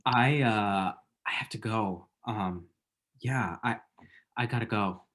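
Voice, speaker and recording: Man acting awkward, halting young guy. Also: on the low side, a bit bright, slight background noise. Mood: regretful. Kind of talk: naturalistic romantic comedy table read.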